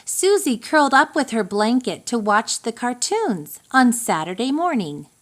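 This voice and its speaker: A woman with a fairly high voice reads a picture book to young children. Her pace is very unhurried and her pitch moves in lively swoops. She tells the story expressively and deliberately. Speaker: storybook reader.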